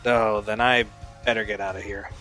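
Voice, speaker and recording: A man playing an apologetic, weary man who avoids conflict in an audio drama, hesitant and gentle. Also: fairly low pitch, level tone, moderate pace, music in the background, natural voice. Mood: resigned, wistful.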